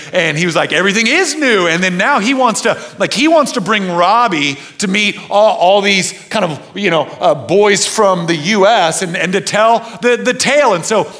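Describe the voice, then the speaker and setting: A middle-aged American man telling a story as a preacher, informal and conversational, often repeating words and acting out dialogue. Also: medium pitch. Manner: animated retelling with quoted dialogue, repeats words, informal slang. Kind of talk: storytelling sermon to an audience.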